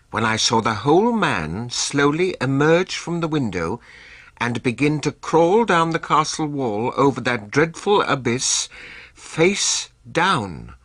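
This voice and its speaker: Guy doing horrified young victorian traveller, hushed, bright lively voice, dry close sound. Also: medium pitch, no echo. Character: young Victorian man recounting horror at a castle. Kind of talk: dramatic reading.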